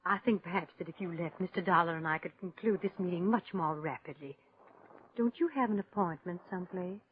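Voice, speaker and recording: An actress playing a genteel older widow in a 1950s radio drama, polite, formal and gently firm. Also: female voice, on the low side, fairly quick, lightly acted. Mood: gently reproving. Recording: tinny, noisy old radio recording.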